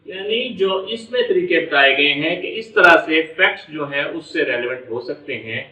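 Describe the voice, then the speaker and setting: A man's voice: a little high, moderate pace, sharp tone. A man with a Pakistani accent giving an explanatory law lecture, repeating and rephrasing step by step and mixing in English legal terms. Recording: echoey room, distant dull mic.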